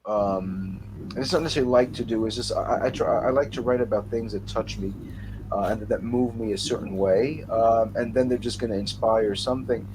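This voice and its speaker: A man speaking as a writer on a casual panel over a video call, haltingly, repeating words as he thinks aloud. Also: on the low side. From United States (born in New York). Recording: clearly noisy video-call audio.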